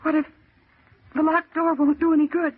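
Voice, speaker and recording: A woman's voice: sits high, level tone, moderate pace, lightly acted. An actress playing a terrified, fretful young female office worker in a 1940s radio thriller, speaking with breathless, pleading urgency. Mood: dread. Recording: tinny vintage radio recording.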